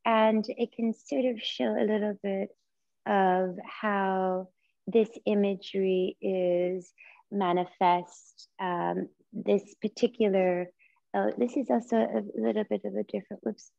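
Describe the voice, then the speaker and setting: Soft-spoken woman, Japanese first language. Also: mid-range voice, steady tone, gravelly, no room sound. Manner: soft, reflective, halting with many fillers and restarts. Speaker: visual artist guest. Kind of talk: video call interview. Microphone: laptop or phone camera on video call. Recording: muffled lo-fi video call audio.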